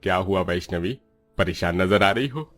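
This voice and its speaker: Man as a low-voiced tired village father. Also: moderate pace, fairly bright tone, faint music behind. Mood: concerned. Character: tired poor village father, a kindly labourer. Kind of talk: Hindi cartoon dialogue.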